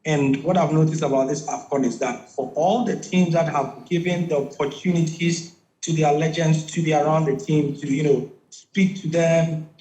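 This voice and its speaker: A man's voice: fairly high pitch, steady tone, moderate pace, mellow, reverberant, rough recording. A man from Ghana giving conversational football analysis as a studio pundit, with frequent restarts.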